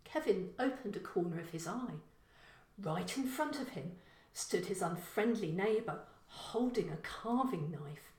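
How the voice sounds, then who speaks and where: A woman reading a short story as scripted narration, in a measured, expressive storytelling manner. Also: medium pitch, reverberant, rough recording.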